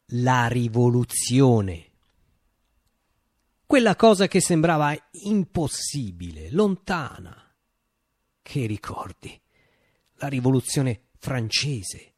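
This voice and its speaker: Theatrical, swooping revolutionary narrator. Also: male voice, on the high side, a little mellow, dry recording, studio quality, exaggerated voice. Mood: solemn, evocative. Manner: deliberate phrasing with dramatic pauses, emphatic lists. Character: reflective male revolutionary recalling a utopian Italian uprising. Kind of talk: theatrical radio monologue, dramatic storytelling.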